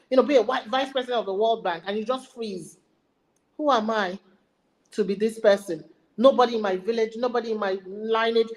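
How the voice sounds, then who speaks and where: A woman's voice: on the high side, low-quality recording. A woman with a Nigerian accent giving an emphatic motivational webinar talk, repeating her key phrases for effect. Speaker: learning and development speaker.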